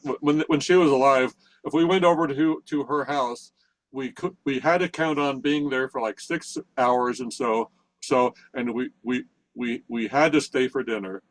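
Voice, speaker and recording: A man's voice: medium pitch, moderate pace, no echo, rough recording. Older guy from central pennsylvania rambling through a story, keeps restarting, dry close sound. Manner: rambling, many restarts, chuckles through stories. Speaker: participant in a technical session. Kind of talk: casual storytelling in a group chat.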